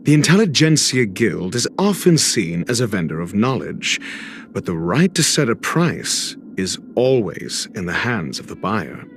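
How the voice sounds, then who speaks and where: A man voicing a haughty, condescending intellectual scholar in a video game, speaking in measured, theatrically articulate lines. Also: on the low side, big pitch swings, a little hiss or hum. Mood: lecturing. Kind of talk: scripted video game character monologue. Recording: voice over background music.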